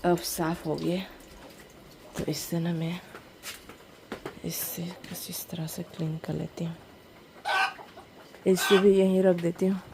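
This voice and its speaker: A woman's voice: fairly low pitch, flat delivery, takes it slowly, long pauses. A woman with a Northeast Indian accent chatting casually and informally to viewers in a home vlog. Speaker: vlogger. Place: village home, indoors and out in the yard. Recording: noisy handheld home vlog recording.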